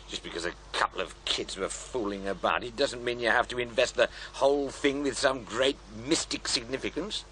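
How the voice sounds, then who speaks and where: An actor playing a sceptical programme-maker in a radio drama, asking probing questions in a dry, blunt manner. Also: male voice, mid-range voice, normal speed, background noise, lightly acted. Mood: pressing, doubtful. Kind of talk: naturalistic radio drama acting.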